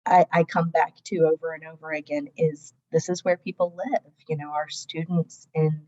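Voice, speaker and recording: Low-voiced woman on a dull call line. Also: level tone, normal speed, warm tone. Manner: thoughtful, restarts words, many ums. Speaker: webinar panelist. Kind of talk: professional reflection on a panel.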